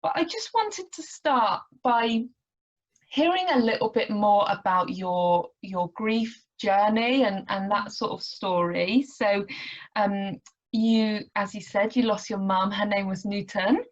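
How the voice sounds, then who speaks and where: A woman's voice: medium pitch, slow pace, lo-fi sound. A woman hosting an online interview, speaking in an effusive, enthusiastic way over a video call. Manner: warm, effusive, enthusiastic praise. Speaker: host.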